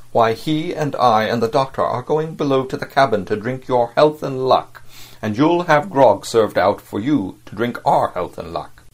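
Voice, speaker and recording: A man's voice: medium pitch, natural voice. A man voicing a stern ship's captain addressing his crew in formal, commanding speech. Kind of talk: audiobook character voice.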